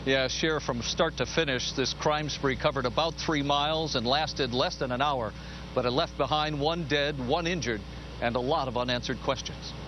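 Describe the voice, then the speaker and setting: A man's voice: mid-range voice, normal speed, dull audio, noisy background. A man working as a field reporter, delivering a scripted live television news report.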